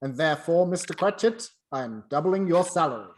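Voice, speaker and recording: A man's voice: fairly high pitch, animated, fairly quick, pitched above the performer's own voice, lightly acted. Reformed old miser for radio play male performer: hearty, emphatic, exclamatory, bursting with new generosity. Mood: mock stern. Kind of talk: amateur radio play acting.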